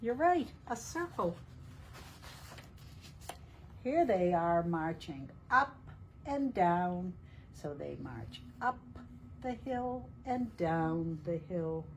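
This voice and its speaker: A woman reading a picture book aloud to young children in a deliberate, teacherly way, asking questions as she goes. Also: lowish voice, animated, slight background noise, poor audio. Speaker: children's storytime reader at a library.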